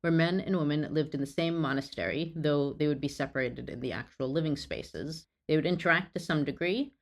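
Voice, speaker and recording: Low-voiced woman narrating history. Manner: earnest, apologetic asides. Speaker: history video creator. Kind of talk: scripted educational narration.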